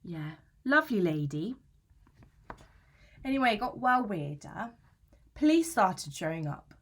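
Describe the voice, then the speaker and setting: A woman's voice: mid-range voice, sing-song, unhurried. A woman playing an anxious, aggrieved young British student in a conversational, confessional dramatic monologue, chatty, slangy and self-justifying. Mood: scornful, gossipy. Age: young adult. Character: anxious young female student, aggrieved and defensive about housemate.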